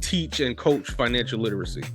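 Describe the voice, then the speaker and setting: A man's voice: mid-range voice, lively intonation, fairly quick, soft background music. A man hosting a casual podcast interview, talking loosely with many restarts and fillers. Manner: loose, restarts often, lots of "you know". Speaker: podcast host.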